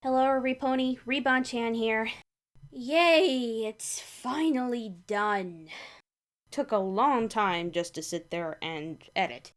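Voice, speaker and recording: A woman's voice: a little high, takes it slowly. A woman speaking as narrator and host of a fan fiction reading. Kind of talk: story narration and casual outro chat.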